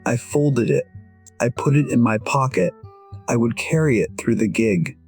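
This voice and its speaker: An actor playing a gentle, wistful musician in his sixties, narrating an audio drama in short, measured, reflective sentences. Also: mid-range voice, slightly rough. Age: 60s. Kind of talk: first-person scripted audio drama narration. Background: faint music.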